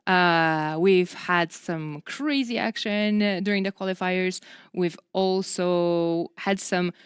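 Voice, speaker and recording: Smooth, lowish female esports commentator. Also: takes it slowly, no room sound, studio quality. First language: not English. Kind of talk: live tournament commentary.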